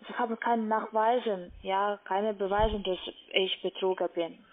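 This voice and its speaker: A woman's voice: medium pitch, fairly even intonation. Russian-accented woman on a tinny phone line. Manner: halting, non-native German phrasing. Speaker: call-centre broker, phone scammer. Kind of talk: unscripted phone argument. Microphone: phone call.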